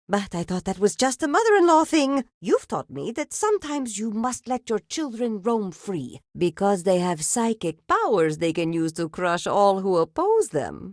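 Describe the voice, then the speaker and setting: A woman's voice: medium pitch, dry recording, clean studio sound. A woman voicing a strict, proud, middle-aged circus matriarch with a theatrical lilt in a video game. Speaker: voice actress.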